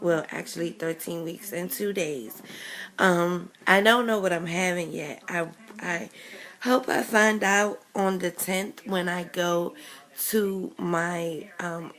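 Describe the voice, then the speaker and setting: A young woman with a raspy voice from a chest cold, chatting casually to her camera. She talks slowly and rambles, full of ums and small corrections as she goes. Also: on the low side, a bit bright. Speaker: vlogger.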